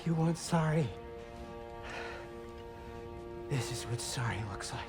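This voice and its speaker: A man's voice: on the high side, lightly acted. A man playing a bitter, grieving dwarf craftsman in a dramatic video game, wounded and accusing. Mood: bitter grief. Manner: slow, accusing, emotional delivery. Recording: loud music under the voice.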